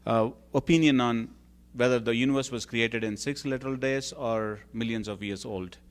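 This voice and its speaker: A man's voice: mid-range voice, fairly even intonation, moderate pace. A man moderating a church Q&A, reading out questions in a hesitant way, full of ums and uhs. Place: church hall.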